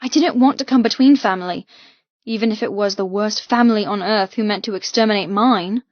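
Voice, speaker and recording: A woman reads dramatic first-person fiction as an audiobook narrator. Her pitch is middling and her delivery intense and emotive. The recording is very dry and slightly dulled. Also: a little fast, no echo, muffled recording.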